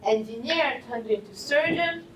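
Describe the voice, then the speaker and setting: Halting woman host, echoey and distant. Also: sits high, slight background noise. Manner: halting, with many fillers. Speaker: seminar host. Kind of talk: formal introduction. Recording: roomy sound from far off.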